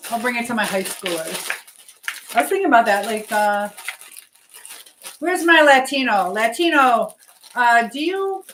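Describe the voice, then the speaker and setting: A woman's voice: on the high side, very animated, moderate pace, low-quality recording. A woman chatting casually on a livestream as she bakes in her home kitchen, rambling and thinking aloud. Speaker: livestream host. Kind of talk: casual live-stream chat while baking. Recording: noticeable background noise, clicks.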